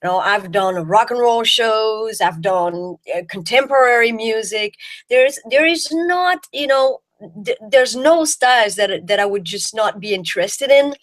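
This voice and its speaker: A woman's voice: on the high side, a little slow, fairly bright tone. Bubbly Belgian woman singer. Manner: enthusiastic, restarts words often. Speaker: guest jazz singer. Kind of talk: casual chat.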